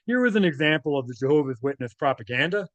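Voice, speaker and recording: A man's voice: fairly high pitch, animated, quick pace, a bit bright, dry recording, lo-fi sound. A man reading documents aloud and adding informal, conversational off-the-cuff commentary, often checking in with tag questions. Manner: conversational, often tags statements with "right?".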